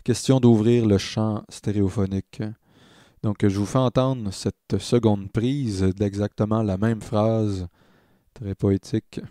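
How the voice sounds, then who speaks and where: Male tutorial narrator, Quebec French accent, low register, unhurried and halting, informal teaching tone. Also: steady tone, dark tone. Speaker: online music production tutor.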